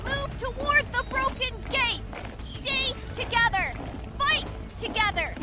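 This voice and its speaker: Actress as female ninja master, very high voice, slow forceful orders, urgent, audiobook battle, loud music underneath. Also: animated, exaggerated voice. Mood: commanding. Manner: urgent, commanding. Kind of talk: dramatized audiobook acting.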